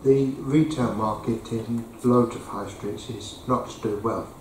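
A councillor, a man, asking a slow, halting question at a meeting. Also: medium pitch, fairly even intonation, background noise. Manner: slow, halting, searching for words. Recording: echoey committee room from far off.

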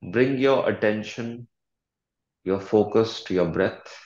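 A man leading a guided meditation as a coach, speaking calmly and deliberately with long pauses and soothing instructions. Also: on the low side, reverberant, lo-fi sound.

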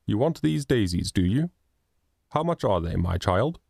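A man's voice: lowish voice, sing-song, measured pace, creaky, darkish tone, breathy edge, dry recording, clean studio sound, heavily acted. A man reading a storybook, voicing a cheery, teasing father in a bluff, hearty tone.

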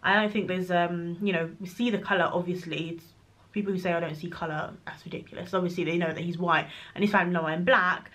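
A woman's voice: on the low side, steady tone, fast talker, fairly bright tone. Lady in her 30s doing a chatty q&a vlog from her bedroom, talks straight to camera, frank and easy. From Congo. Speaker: vlogger. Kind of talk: casual Q&A vlog. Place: bedroom nursery, indoors. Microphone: camera at close range.

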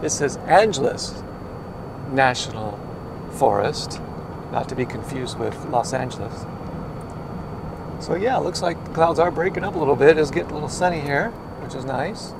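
A man's voice: medium pitch, moderate pace, creaky, long pauses. A man vlogging a road trip, chatting casually as he drives a mountain road and then walks his dog outdoors. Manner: relaxed, rambling commentary with fillers. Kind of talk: casual narration while driving and walking. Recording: noisy car and outdoor recording. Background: car and road noise.

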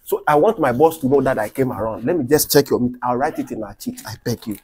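A man playing a young electricity meter inspector in a comedy skit, exclamatory and quick to swing between deference and scorn. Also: medium pitch, darkish tone, husky, close to an everyday voice. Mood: pleading. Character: meek young meter inspector who turns scornful and indignant.

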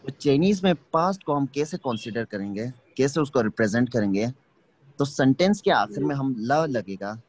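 A man teaching an online language class, explaining slowly and checking that students follow. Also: mid-range voice, expressive, darkish tone. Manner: patient, explains slowly, checks understanding often. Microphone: video call.

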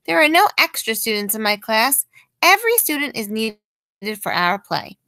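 Woman reading as an excitable fussy schoolteacher, bright and high. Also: normal speed, dry recording, full character voice. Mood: excited, firm. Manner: exclamatory, emphatic, spelling things out.

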